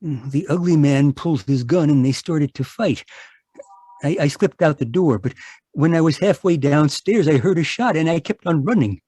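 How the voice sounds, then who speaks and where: Man as nervous, fretful henpecked husband, radio play. Husky, highish, stammering. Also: normal speed, dark tone, no room sound. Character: timid, anxious runaway husband, Tom Turkey. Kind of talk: old-time radio drama acting. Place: live online performance over video call.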